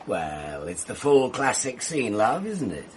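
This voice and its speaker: Slow, sardonic male suspect. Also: medium pitch, big pitch swings. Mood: mocking. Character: sardonic, mocking male suspect, theatrically amused. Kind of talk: radio drama acting. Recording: faintly noisy archive radio broadcast, off-mic.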